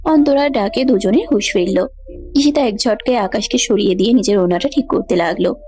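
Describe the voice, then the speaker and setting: A woman's voice: fairly high pitch, a little fast, mellow. A woman giving scripted narration of a romantic story.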